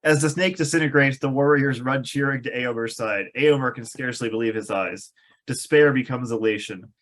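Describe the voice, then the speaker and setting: A man's voice: mid-range voice, a little fast, fairly bright tone. A man reading screenplay stage directions aloud at a table read, with an amused, sardonic manner. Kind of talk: table read of a film script with wry asides.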